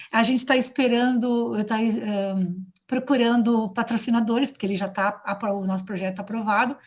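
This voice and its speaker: A woman's voice: a little high, a little mellow. A woman musician talking at an online meeting, hesitant, with many fillers and restarts. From Flores da Cunha, southern Brazil. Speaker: musician and singing teacher. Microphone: video call.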